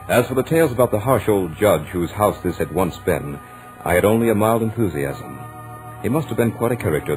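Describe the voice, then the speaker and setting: An actor playing a self-assured, studious young gentleman narrating his own tale in measured, literate 1940s radio drama style. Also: low voice, poor audio.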